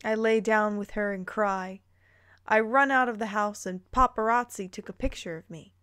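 A woman's voice: medium pitch, normal speed, fairly bright tone, clean tone. A woman hosting a dramatic read-aloud of fan fiction, breaking in with playful, incredulous commentary. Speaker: host reading and reacting to fan fiction.

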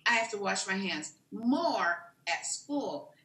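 A woman voicing an earnest, slightly nervous little puppet child, speaking simply and deliberately in a children's puppet show. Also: mid-range voice, animated, sharp tone. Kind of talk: children's puppet show over video call. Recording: echoey video call.

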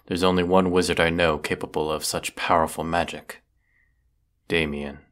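A man playing a proud, brooding, sardonic wizard of the woods in a scripted audio roleplay, speaking haughtily and deliberately. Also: sits low, fairly even intonation, a bit bright. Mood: grave, determined.

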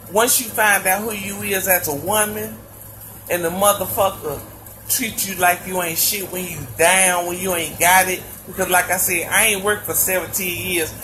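A woman with a deep voice talking bluntly and profanely to her viewers while lying in bed, speaking into a phone. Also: a bit bright, a little hiss or hum, rough recording. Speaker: live streamer telling her story. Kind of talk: casual monologue to viewers. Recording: played from her live stream.

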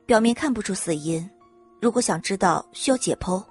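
A cool young noblewoman played by a woman narrator in an audio drama. She speaks levelly and firmly at a middle pitch, with a slightly husky tone. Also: normal speed, faint music behind. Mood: measured, earnest. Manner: flat, level, unyielding. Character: cold, composed young noblewoman forensic examiner.

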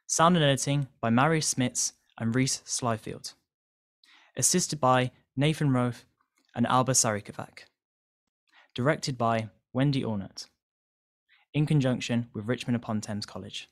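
Guy reading out credits for a radio play, scripted, normal mid pitch. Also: a little slow. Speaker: credits announcer. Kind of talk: scripted announcement.

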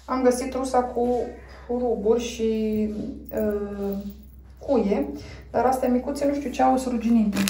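A woman in her thirties from Romania, chatting casually through her cleaning while filming on her phone at home, pausing often with fillers as she works. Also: fairly high pitch, steady tone, warm tone, rough recording. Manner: chatty, talks while doing chores, frequent pauses and fillers. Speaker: family vlogger. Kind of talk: casual clean-with-me vlog narration. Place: family home, indoors. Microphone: phone camera.

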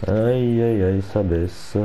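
Low mellow man with a cold. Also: takes it slowly, soft background music, rough recording. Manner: muttering, swears at setbacks. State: has a cold. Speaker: gaming channel host. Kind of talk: casual gameplay commentary.

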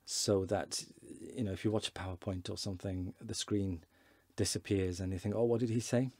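A man in his forties, a fluent Welsh speaker, giving a relaxed, chatty beginner lesson from his desk with hesitations and repeated words. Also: lowish voice, moderate pace, no room sound. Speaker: Welsh language teacher. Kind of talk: casual online lesson.

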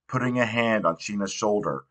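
Bright-voiced man reading a novel aloud. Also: on the low side, moderate pace. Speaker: audiobook reader. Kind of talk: read narration of a young adult novel.

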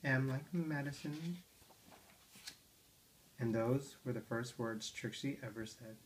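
A man's voice: medium pitch, slow pace, background noise. A father reading picture books to his toddler at home, playful and coaxing, asking her questions about the pages. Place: living room armchair at home.

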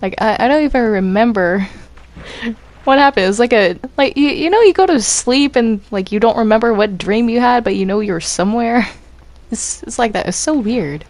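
A woman chatting casually in a game voice chat, hesitant and halting, often sounding confused. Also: fairly high pitch, animated, a little mellow. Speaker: gamer in a group roleplay.

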